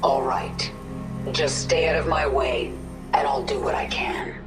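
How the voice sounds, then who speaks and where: A woman's voice: very deep voice, steady tone, measured pace, in a whisper. An actress playing a vengeful, resolute warrior queen in a game cutscene, grave and commanding. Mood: cold determination. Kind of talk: video game cutscene acting. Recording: strong echo with music behind.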